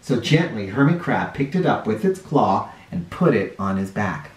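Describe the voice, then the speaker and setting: A man in his forties reading a picture book aloud to children in an expressive, explanatory way. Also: fairly low pitch, moderate pace, slight background noise. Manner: animated, explanatory, addressing young listeners. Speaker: children's storytime reader. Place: living room or playroom indoors. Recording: roomy home sound, distant mic.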